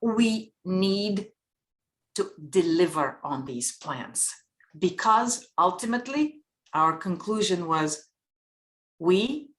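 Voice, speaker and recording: A woman from Bulgaria giving earnest, measured panel remarks and posing rhetorical questions. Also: on the low side, expressive.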